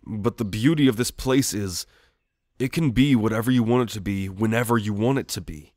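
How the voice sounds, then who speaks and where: A man playing a gentle shadowy sleep demon in an ASMR roleplay, speaking softly and haltingly to soothe the listener. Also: fairly low pitch, no echo, clean studio sound, close to an everyday voice. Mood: reassuring, inviting.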